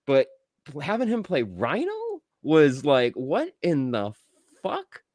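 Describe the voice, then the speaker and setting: A man co-hosting a casual movie review podcast, talking in an excitable, blunt way with plenty of swearing. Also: a little high, slow, no echo, clean studio sound. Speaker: podcast co-host.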